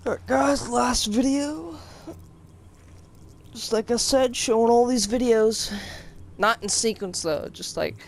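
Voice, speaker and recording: A man's voice: very high-pitched, slow pace, vocal fry, long pauses, a little hiss or hum. A young man giving casual, off-the-cuff gaming commentary to viewers in a hesitant, rambling, informal way. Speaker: gaming video host.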